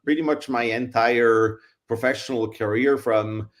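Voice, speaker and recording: A middle-aged man speaks at a steady middle pitch. He answers in a measured, thoughtful way, often pausing on fillers and restarting his sentences. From Switzerland. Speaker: guest, engineering consultant. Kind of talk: conversational interview answers.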